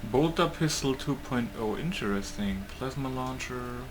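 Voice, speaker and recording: A man giving casual gameplay commentary in short, muttered, low-key remarks. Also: animated, moderate pace. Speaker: gamer, let's player. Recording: gameplay audio with clear noise behind.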